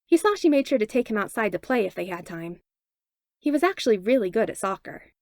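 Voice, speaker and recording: A woman reading fan fiction aloud as a podfic narrator, with expressive, animated storytelling. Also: medium pitch, no room sound, clean studio sound. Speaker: podfic reader.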